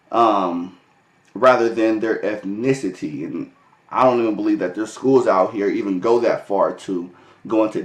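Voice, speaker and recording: American guy vlogging, easygoing rambling talk, restarts a lot, room echo all around. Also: on the low side. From United States. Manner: loose and conversational, many fillers and restarts, laughs often. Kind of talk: casual vlog monologue. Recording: echoey room.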